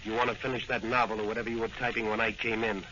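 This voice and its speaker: Low, tough radio hero. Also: male voice, flat delivery, very fast, bright, forceful tone, dull audio. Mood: stern, commanding. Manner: terse, commanding. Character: tough, commanding masked crime-fighting hero. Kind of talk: 1940s radio acting. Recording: noisy, band-limited old-time radio recording.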